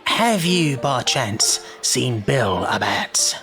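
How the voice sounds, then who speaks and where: An actor playing a wily old leader of child thieves in an audio drama, with an English accent, a gravelly voice and a theatrical, ingratiating manner. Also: male voice, medium pitch, lively intonation, music in the background. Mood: teasing. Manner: theatrical, ingratiating, drawn-out delivery.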